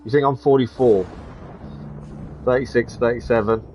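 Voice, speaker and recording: A man's voice: mid-range voice, steady tone, normal speed, muffled recording, soft background music. Guy in his thirties streaming a game, talks to chat, average voice. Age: 30s. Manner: chatty, muttering at enemies, answering chat. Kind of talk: live gameplay commentary.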